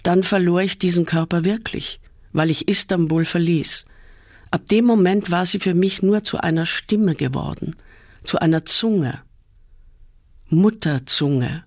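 A man reading a first-person literary essay aloud in a measured, calm way, often slowing down. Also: high voice, no echo, clean studio sound. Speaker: reader.

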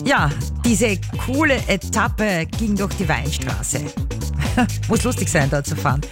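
A woman's voice: medium pitch, very animated, slow, over music. Upbeat Austrian woman presenting news. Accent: Austrian German. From Styria, Austria.

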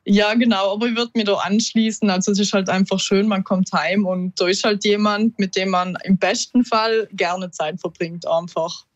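A woman talks informally as a student representative. Her pitch is average, and the recording is dry and a touch muffled. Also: steady tone, normal speed, no room sound. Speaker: student union representative, talk guest. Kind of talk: casual chat.

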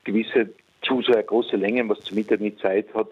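Chatty caller on a tinny phone line. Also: male voice, on the low side, fairly even intonation, moderate pace. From Tyrol, Austria. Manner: chatty, wordy, repeats himself. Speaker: regular caller. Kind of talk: phone call to a radio show.

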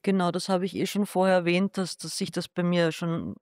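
An artist talks through his pictures slowly, circling back and restarting as he looks for words. His voice sits a bit high for a man, and the sound is dry and close, with no room around it. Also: little pitch movement, no room sound. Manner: thoughtful, hesitant, with restarts. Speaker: artist showing his exhibition. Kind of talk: gallery interview.

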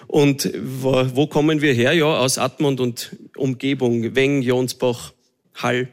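A man's voice: mid-range voice, little pitch movement, takes it slowly. A man from Salzburg with an Austrian accent, a choir director answering interview questions hesitantly, with many fillers.

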